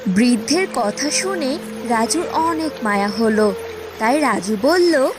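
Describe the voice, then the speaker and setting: A woman's voice: on the high side, lively intonation, slow pace. A woman narrating a scripted storybook tale for a cartoon. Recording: music behind the voice.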